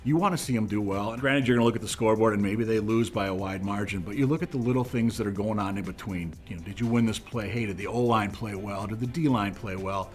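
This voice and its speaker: A man's voice: lowish voice, quick pace, hardly any pauses, soft background music. A man who announces local school games, talking in an easygoing, earnest way in an interview. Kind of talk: interview talk.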